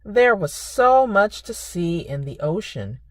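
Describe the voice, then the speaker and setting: A woman hosting a preschool storytime, speaking in simple, expressive phrases for young children. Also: mid-range voice, takes it slowly, dry recording. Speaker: children's librarian and storytime host. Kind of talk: preschool storytime reading and narration.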